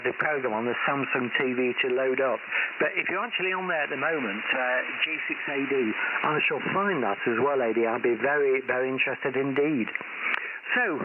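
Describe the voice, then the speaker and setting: A man chatting courteously over shortwave radio, giving casual signal reports as an amateur operator. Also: mid-range voice. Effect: shortwave single-sideband radio. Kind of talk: casual radio contact chat. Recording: narrow-band shortwave radio, loud static hiss. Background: loud radio noise.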